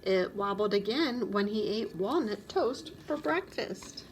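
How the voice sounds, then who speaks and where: A woman narrating a children's storybook aloud in an expressive read-aloud style. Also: medium pitch, measured pace, a little hiss or hum, rough recording. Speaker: storybook reader.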